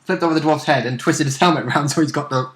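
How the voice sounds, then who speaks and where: A man's voice: on the high side, fast talker, a bit bright. A man co-hosting a tabletop role-play recap in casual, joking, sweary chat.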